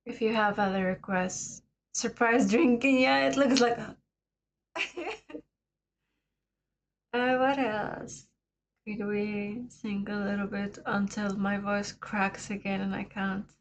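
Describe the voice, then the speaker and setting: A woman hosting a laid-back livestream chat, her voice raspy and hoarse today, often laughing between remarks. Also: medium pitch, fairly even intonation, slow, long pauses, a little hiss or hum. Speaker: livestream host. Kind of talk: casual chat with viewers.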